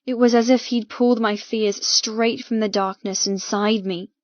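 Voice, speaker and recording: A woman's voice: on the high side, steady tone, moderate pace, no echo. A woman reading first-person fiction as an audiobook narrator, with an emotive, dramatic delivery. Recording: dull, muffled, dry recording.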